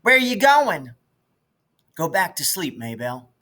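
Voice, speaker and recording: A folksy country boy out of a storybook, played by a man. He grumbles and teases in a bright, forceful voice pitched a bit above the reader's own. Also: very animated, a little slow, exaggerated voice. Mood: irritated. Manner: folksy, colloquial, exasperated. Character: grumbling, teasing country boy, anxious but fond.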